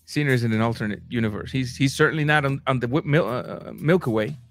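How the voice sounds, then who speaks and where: A man from Cuba, hosting a casual boxing livestream and reading viewer comments, animated, stumbling over his words and jumping up in pitch. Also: quick pace, faint music behind. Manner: animated, repeats words and restarts, rising to high bursts.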